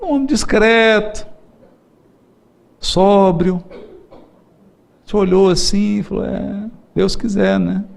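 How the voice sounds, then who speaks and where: A man's voice: high voice, fairly even intonation, slow, vocal fry, pauses often. A middle-aged man with a Brazilian Portuguese accent giving a seminar lecture, telling stories playfully, laughing often and acting out the voices he quotes. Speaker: spiritist lecturer.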